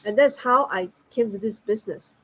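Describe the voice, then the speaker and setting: A woman's voice: mid-range voice, normal speed, fairly bright tone, breathy edge, soft background music, poor audio. A woman from Singapore, talking as a guest in a friendly, earnest way. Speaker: guest business partner. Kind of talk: casual online talk.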